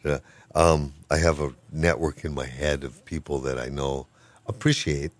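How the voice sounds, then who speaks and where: A man's voice: rumbling low, moderate pace. An older man, a professor of Hawaiian language, talking casually and with good humor in an interview, wandering from point to point. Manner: rambling, good-humored, self-deprecating.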